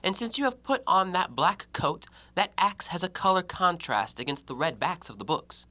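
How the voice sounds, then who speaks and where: Audio drama: male actor for a self-righteous, scornful intellectual man; bright voice, precise argumentative diction, very dry close sound. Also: sits high, animated, normal speed, no echo. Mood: resolved, accusing. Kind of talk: audio play acting.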